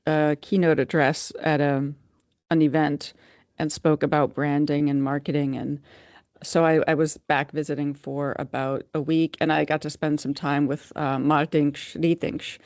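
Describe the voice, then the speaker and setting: A woman from the United States talks as a podcast guest, thoughtful and measured. Her voice is smooth and resonant, heard close and dry with a slightly dull tone. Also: low-pitched, little pitch movement, a little mellow, no room sound. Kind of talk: podcast interview.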